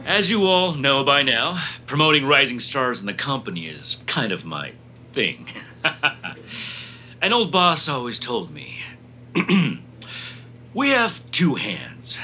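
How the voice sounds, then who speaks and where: An actor playing a smug, chummy corporate boss in a comedic audio drama, pompous and patronizing. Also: male voice, mid-range voice, sing-song, takes it slowly, sharp tone, slight background noise, heavily acted. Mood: grandly gushing.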